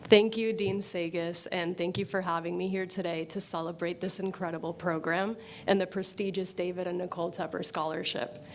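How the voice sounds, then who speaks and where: A woman's voice: lowish voice, fairly even intonation, slight background noise. A woman in her twenties from Puerto Rico, giving an earnest, thankful prepared speech at a podium. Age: 20s. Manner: earnest, thankful, measured delivery. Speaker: scholarship graduate giving a speech. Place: event hall at a podium.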